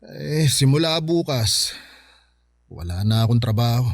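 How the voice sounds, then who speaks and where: An actor playing a devoted, tender working-class Filipino husband in a radio drama, earnest and halting in delivery. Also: male voice, mid-range voice, lively intonation, creaky, slight background noise. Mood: apologetic, downcast.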